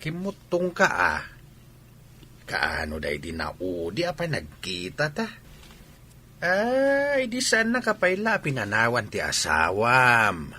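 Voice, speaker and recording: A man's voice: mid-range voice, huge pitch swings, takes it slowly, pushed and bright, a little hiss or hum, heavily acted. A man playing a candid, caring elderly village father-in-law in a radio drama, with a Filipino accent. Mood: friendly, inquisitive. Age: older. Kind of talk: Ilocano radio drama acting.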